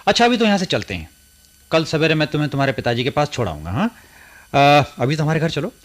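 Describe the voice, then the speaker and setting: Lovelorn, kindly man: earnest, dramatic radio-play delivery with lively swings in pitch. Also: quick pace. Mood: kind, urgent. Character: earnest, kindly, lovelorn man torn between two women. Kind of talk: Hindi radio play acting.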